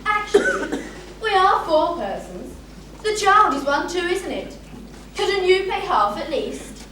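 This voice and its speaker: A woman plays an indignant, suspicious noblewoman on stage. The voice is very high and swings widely, with imperious exclamations. It is heard from far off in a very echoey space. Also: very animated, slow, pushed and bright, full character voice. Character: haughty, indignant aristocratic lady, suspicious and snobbish. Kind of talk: stage drama acting. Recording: echoey hall from far off, faint noise.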